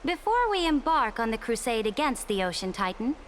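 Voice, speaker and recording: A woman's voice: a little high, big pitch swings, fairly quick, a little hiss or hum. A woman playing an imperious, pompous empress who speaks formally and commandingly in a dramatic video game. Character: imperious, haughty female Imperator who calls allies her subjects. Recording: music behind the voice.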